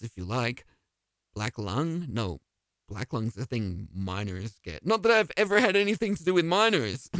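A man's voice: fairly high pitch, dry recording. A man hosting a comedy podcast, delivering a scripted, mock-earnest monologue that rambles and keeps questioning itself. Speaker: podcast host playing the DM.

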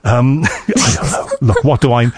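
A man's voice: mid-range voice, huge pitch swings, a little slow, husky. A man hosting a radio talk show, chatting casually and rambling with frequent restarts and self-deprecating asides. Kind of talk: casual on-air chat. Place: radio studio.

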